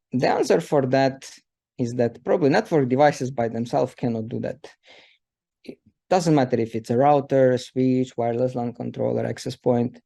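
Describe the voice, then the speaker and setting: A man in his thirties from Ukraine presents a technical session for an audience. His voice is gravelly and mellow, with a low, dark color. He talks loosely, filling gaps with uh and um. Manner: loose, filler-heavy speech with many uh and um. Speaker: presenter, systems engineer.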